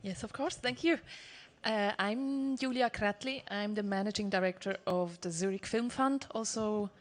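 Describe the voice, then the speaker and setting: A woman speaks on a festival panel at a middle pitch, laying out facts in a calm, measured order. She pauses now and then to pick the next word. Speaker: film fund director. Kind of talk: panel talk.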